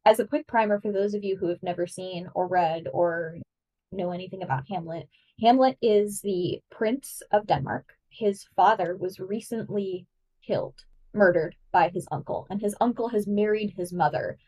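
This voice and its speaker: A woman co-hosting a podcast, explaining in a casual, excitable way with many fillers. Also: mid-range voice, far from the mic, rough recording. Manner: fast, filler-heavy, excitable. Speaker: podcast co-host. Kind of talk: enthusiastic explaining in casual chat.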